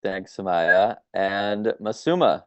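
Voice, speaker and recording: Low, smooth-voiced male moderator. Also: slow, no room sound. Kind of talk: brief informal moderating on a video call. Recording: dull, dry video call audio.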